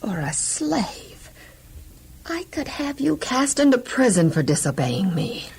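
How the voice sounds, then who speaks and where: Imperious scheming wife, radio-play melodrama, high voice, wildly swooping, theatrical. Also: female voice, sing-song, slow pace, a little mellow, slight background noise, heavily acted. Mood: coaxing, seductive.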